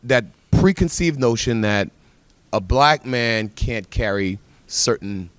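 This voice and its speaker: A man's voice: mid-range voice, lively intonation, takes it slowly, smooth, no room sound. A man born in the Bahamas, speaking as a pastor and guest on a TV panel, telling stories earnestly with emphatic repetition. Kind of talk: TV panel discussion.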